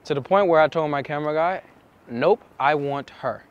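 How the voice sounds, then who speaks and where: A young man explaining to camera as a dating coach, with emphatic, deliberate phrasing and pauses, recorded outside on a city street. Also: on the high side, dull audio. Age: young adult. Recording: outdoor city street with traffic noise.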